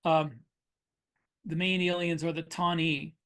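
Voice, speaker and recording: Higher-pitched middle-aged man chatting. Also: flat delivery, unhurried, fairly bright tone, smooth. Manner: anecdotal, explanatory. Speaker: podcast co-host and science fiction author. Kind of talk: casual conversational discussion.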